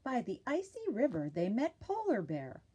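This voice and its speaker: A woman's voice: a little high, huge pitch swings, normal speed, dark tone, husky. A woman reading a children's picture book aloud expressively as a library storytime narrator.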